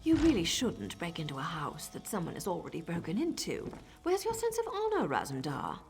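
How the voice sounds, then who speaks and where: An actress playing a cool, sardonic female elf assassin in a video game, with a husky voice and a dry, confident, often hushed delivery. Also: lowish voice, huge pitch swings, a little fast, slight background noise. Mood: wry.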